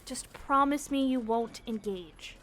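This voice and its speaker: Lady doing bossy teen girl detective, highish voice, practical n firm, old-timey audio drama. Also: a little slow, fairly bright tone, a little hiss or hum. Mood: firm, scheming. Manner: firm, instructing, no-nonsense. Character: bossy, practical, sensible teenage girl sleuth. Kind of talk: nostalgic audio drama acting.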